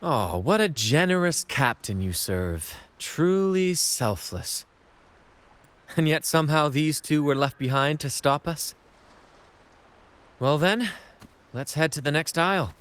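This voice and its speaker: Wry showy young adventurer guy, game character, highish voice, unhurried, coy and teasing, music under it. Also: animated, fairly bright tone, clean tone. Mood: dry sarcasm. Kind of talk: video game character acting.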